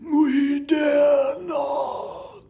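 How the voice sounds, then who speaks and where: An actor playing a frightened, theatrically tragic stage performer, declaiming melodramatically with a breathy delivery in an audio comedy. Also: sits high, flat delivery, extremely slow, dark tone, shouting, exaggerated voice. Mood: terrified. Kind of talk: audio comedy drama acting.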